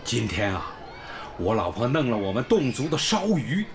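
An actor playing a genial, forgetful older village school principal in a radio drama, hearty and folksy. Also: male voice, mid-range voice, very animated, moderate pace, noisy background. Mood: hearty, friendly. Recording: music behind the voice.

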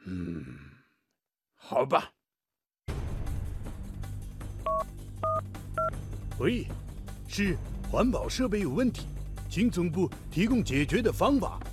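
An actor playing a polite, deferential Japanese technical expert, a junior colleague, in a radio drama. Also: male voice, sits high, big pitch swings, unhurried, long pauses, over music. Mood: anxious, dutiful.

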